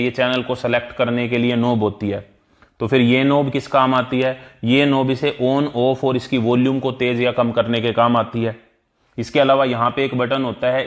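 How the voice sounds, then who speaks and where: A man with an Indian accent giving an explanatory exam-prep lesson as an instructor, repeating key terms in a didactic way. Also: medium pitch. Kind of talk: explanatory exam-prep tutorial, mixing Hindi and English.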